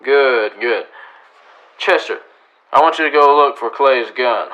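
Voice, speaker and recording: A man plays a calm frontier lawman in a western radio drama. He speaks in a middle-pitched voice with dry, unhurried authority and few words, steely underneath. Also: lo-fi sound, natural voice. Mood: wry, kindly.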